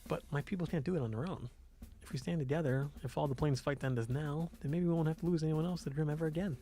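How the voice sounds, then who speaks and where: Idealistic young game queen voiced by a man, plain and earnest, slightly hesitant, creaky. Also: on the high side, fast talker, dark tone, slight background noise, close to an everyday voice. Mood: earnest, resolute.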